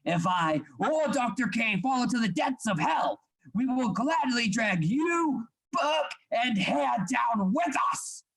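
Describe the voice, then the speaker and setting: A man's voice: extremely high pitch, expressive, takes it slowly, vocal fry, fairly bright tone, husky, heavily acted, rough recording. A man acting in a radio play as a domineering, scheming Victorian anatomy assistant, with commanding, formal, theatrical speech. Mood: angry, threatening.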